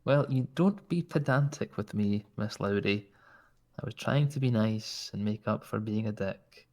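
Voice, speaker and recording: A man's voice: fairly low pitch, unhurried, a little mellow. A man with a Scottish accent talking in character during a roleplay, flirtatious and teasing. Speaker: roleplay player.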